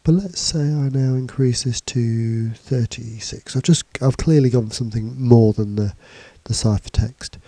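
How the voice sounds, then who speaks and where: Male tutor, stuffy from a cold, very dark and husky tone, relaxed and halting delivery, dry close sound. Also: mid-range voice, no echo. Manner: casual, hesitant with fillers and restarts. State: has a cold, bunged up. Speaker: computing teacher. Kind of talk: screencast tutorial. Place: indoors, voice-over on slides and screen recording.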